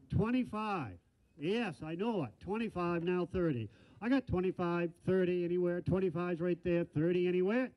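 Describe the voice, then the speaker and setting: Older man, auctioneer, high voice, rapid bid chant, folksy asides, handheld mic, dull lo-fi sound. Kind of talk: auction calling. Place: large indoor auction hall.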